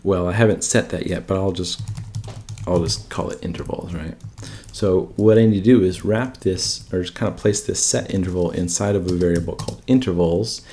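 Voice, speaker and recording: Casual low-voiced man teaching code. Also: dark tone, a little hiss or hum. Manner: informal, often checks in with rhetorical questions. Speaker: presenter of a coding tutorial channel. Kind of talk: casual coding screencast tutorial, thinking aloud.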